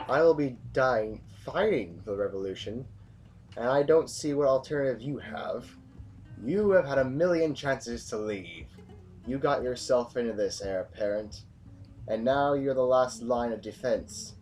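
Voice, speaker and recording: A man's voice: medium pitch, expressive, measured pace, darkish tone, recorded from a distance, faint music behind, lightly acted. A man playing a blunt, commanding, battle-hardened rebel general in a science fiction audio drama. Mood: stern, scornful. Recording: noisy amateur recording, off the mic.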